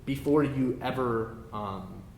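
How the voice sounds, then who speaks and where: A man narrating a tabletop game as game master in a halting, informal way. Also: mid-range voice. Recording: roomy echo, clear background noise.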